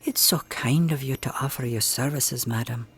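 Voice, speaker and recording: A woman playing a kindly, credulous small-town wife, gentle and polite, in a radio drama. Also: extremely low pitch, normal speed, breathy edge, exaggerated voice. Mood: kindly, fussy. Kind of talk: old-time radio drama acting.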